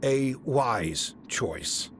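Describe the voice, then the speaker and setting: An actor playing a haughty, exasperated fae council official in a fantasy comedy audio drama, speaking formally and officiously. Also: male voice, mid-range voice, animated, very slow, noisy background.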